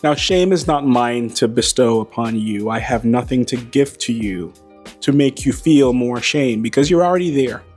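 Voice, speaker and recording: Host, male, slightly hoarse voice, wry and playful delivery, mid pitch, small clip-on mic in a hangar, faint music under him. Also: normal speed, darkish tone. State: losing his voice, slightly hoarse. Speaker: aviation channel host. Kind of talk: casual monologue to camera. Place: beside a plane in a hangar. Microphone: small wireless mic.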